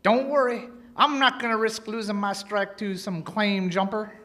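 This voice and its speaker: A woman playing an excitable, simple-minded male prospector in a Western radio play, blustery and folksy. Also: medium pitch, moderate pace, natural voice. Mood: sheepish, folksy. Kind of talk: old-time Western radio re-enactment.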